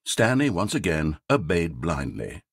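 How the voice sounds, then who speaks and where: A man's voice: deep voice, huge pitch swings, unhurried, slightly rough, no room sound, clean studio sound, heavily acted. An actor playing a smug, mock-polite male narrator of a video game, speaking with sardonic theatrical wit. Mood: wry.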